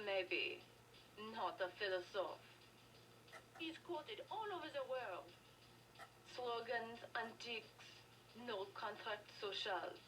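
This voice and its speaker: Earnest game revolutionary, highish voice, declaiming like an intellectual, distant noisy sound. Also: female voice. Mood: wry, bitter. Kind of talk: video game dialogue acting.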